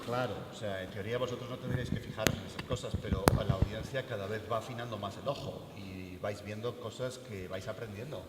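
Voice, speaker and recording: A man's voice: on the low side, steady tone, fast, hardly any pauses, heavy background noise. A man on an animation panel, speaking emphatically and hesitating over names. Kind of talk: panel discussion. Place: art school event hall. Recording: echoey hall.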